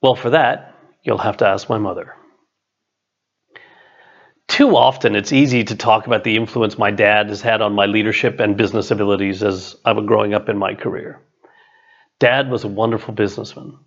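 A middle-aged man, an author and coach, reads his own written text as scripted narration in a measured, deliberate way. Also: lowish voice, steady tone, muffled recording. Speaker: author, business and leadership coach.

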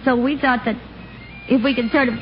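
An actress playing a placating, gently evasive suburban wife and mother, soothing and innocent, in 1940s radio sitcom style. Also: high voice, fairly even intonation, a little fast, lightly acted. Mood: confiding. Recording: muffled old radio broadcast, music behind.

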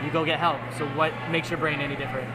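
Upbeat guy host asking questions, slightly high voice, music behind. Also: little pitch movement, very fast. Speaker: event host. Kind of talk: interviewing.

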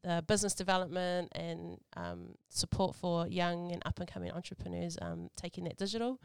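A New Zealand woman hosts a relaxed chat, her slightly low voice gravelly yet very clear. The sound is dry and close. She talks casually, with fillers and Māori phrases. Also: fairly quick, no room sound. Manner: relaxed, friendly, lots of fillers and te reo Māori greetings. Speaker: host.

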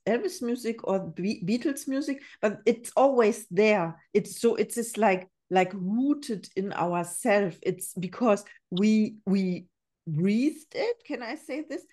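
A woman's voice: a little high, expressive, slow pace, a little mellow. A woman in her forties, a German native speaking English, hosting an interview podcast in an earnest way, often repeating words as she thinks. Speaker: podcast host. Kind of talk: casual interview.

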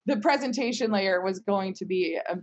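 A woman's voice: medium pitch, normal speed, highs cut off. Woman, mid-range voice, conversational and emphatic founder type, casual panel talk. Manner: talkative, emphatic, repeatedly tags points with "right?". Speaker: company founder.

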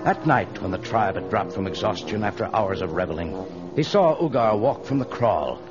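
A man's voice: deep voice, lively intonation, normal speed. A man narrating an adventure serial in dramatic, scripted old-radio style. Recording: dull old radio recording, music behind. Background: music.

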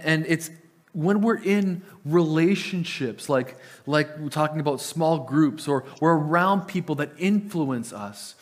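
A man preaching a sermon, earnest and often repeating words as he starts a sentence; Italian was his first language. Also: on the high side. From Italian family. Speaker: pastor.

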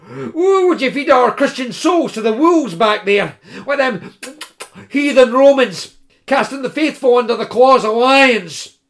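A man voicing a blunt, gruff male student companion. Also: extremely high pitch, expressive, measured pace, voice pushed up, exaggerated voice.

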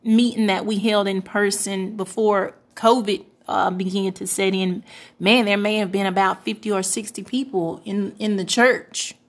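Young woman in her twenties, earnest, hesitant, mid pitch. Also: fairly even intonation, moderate pace. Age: 20s. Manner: earnest, hesitant with many fillers. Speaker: pastor's wife and church first lady. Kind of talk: interview answer, casual and reflective.

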